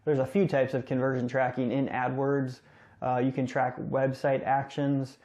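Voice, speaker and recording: A man's voice: mid-range voice, level tone, moderate pace, a little mellow. A man hosting a casual explanatory tutorial, speaking in a plain, step-by-step instructional way. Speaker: tutorial host.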